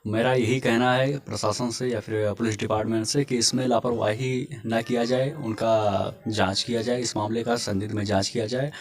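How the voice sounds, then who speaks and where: Young man, halting spoken statement. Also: mid-range voice, flat delivery. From Uttar Pradesh, India. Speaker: victim's younger brother giving a statement. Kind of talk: on-camera interview statement.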